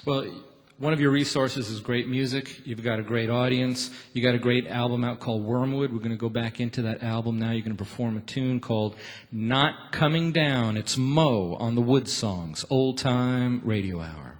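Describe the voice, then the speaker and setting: A man's voice: medium pitch, moderate pace. Man hosting a show in an echoey hall. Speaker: radio show host. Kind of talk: on-stage radio interview. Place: theatre stage.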